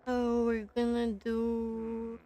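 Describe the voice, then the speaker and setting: A woman's voice: on the high side, monotone, drawn-out words, warm tone. A woman game streamer giving casual reactions as she plays. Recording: game music behind the voice.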